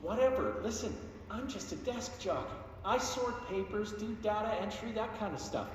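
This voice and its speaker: A whiny, defensive office clerk in a video game. His high voice rambles with awkward sarcasm. It sounds far from the mic in a heavily reverberant hall. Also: lively intonation, normal speed. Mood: indignant, whiny. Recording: distant, very echoey hall, faint noise.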